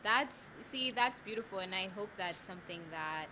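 A woman's voice: mid-range voice, expressive, moderate pace, sharp tone. A woman who grew up in the States, interviewing a guest in a chatty way, full of fillers. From United States. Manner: chatty, many fillers like "you know". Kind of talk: casual interview chat.

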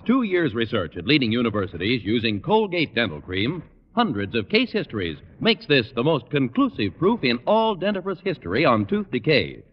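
A man's voice: medium pitch, huge pitch swings, moderate pace. An American man reading a vintage radio commercial in an emphatic, polished sales style. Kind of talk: vintage scripted radio advertising pitch. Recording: muffled, reverberant old radio recording.

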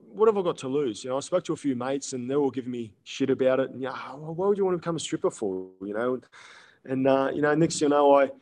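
Laid-back man in his thirties. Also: medium pitch. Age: 30s. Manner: laid-back storytelling with frequent ums and laughs. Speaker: guest. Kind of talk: casual podcast chat.

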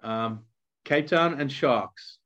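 A man's voice: medium pitch, fairly bright tone. A man from South Africa asking questions in a casual interview, often restarting his sentences. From Durban, South Africa. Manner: conversational, halting restarts. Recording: slightly dull lo-fi audio.

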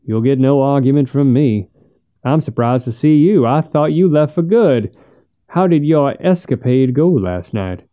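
A man's voice: medium pitch, animated, measured pace, narrow, tinny audio, natural voice. A man narrator voicing a wry, distracted male friend in an audiobook, dry and matter-of-fact. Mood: dry curiosity. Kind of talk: audiobook character voice.

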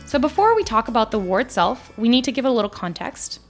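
A woman raised in France co-hosting a history podcast, giving clear, steady scripted narration in an explanatory tone. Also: a little high, quick pace, faint music behind. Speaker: podcast co-host.

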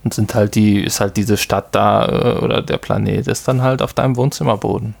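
A man co-hosting a podcast, relaxed and explaining things with light laughter. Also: fairly low pitch, fairly quick, gravelly. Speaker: podcast co-host. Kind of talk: casual chat.

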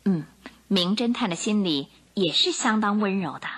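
A woman narrating a radio drama as a scripted story, in a dramatic, expressive storytelling manner. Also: medium pitch, moderate pace.

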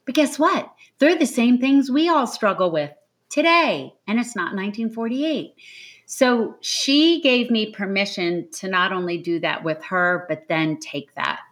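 A woman's voice: medium pitch, animated, unhurried, a bit bright. A woman giving an interview as a novelist, talking reflectively and with earnest emphasis, like someone telling a story.

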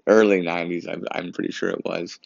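A man's voice: low-pitched, little pitch movement, brisk, vocal fry, no room sound, dull audio. A young Canadian man who wears braces, hosting his own podcast and rambling through a casual story. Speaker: podcast host. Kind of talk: casual solo podcast monologue.

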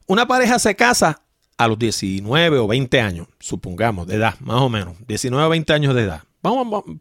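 Animated Puerto Rican man, wide-swinging pitch. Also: dry recording, clean studio sound. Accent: Puerto Rican Spanish. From Puerto Rico. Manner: animated, talks straight to listeners, rhetorical questions. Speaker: podcast host. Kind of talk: solo opinion commentary.

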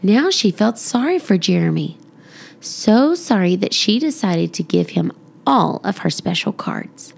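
A woman narrates a children's storybook in an expressive style. Her delivery is slow and very animated in pitch, with some creaky fry in the voice. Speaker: podcast storybook reader.

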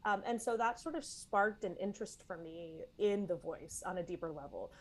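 A woman's voice: fairly low pitch, moderate pace. Chatty woman from Texas telling her story. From East Texas, US. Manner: chatty, lots of fillers and restarts. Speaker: guest, vocal coach and former Broadway performer. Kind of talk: casual interview storytelling.

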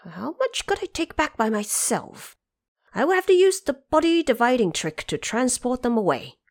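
Audio drama: shrewd, bold male monkey leader played by a woman, deliberate, expressive, authoritative. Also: on the high side, pitched above the performer's own voice. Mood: scheming.

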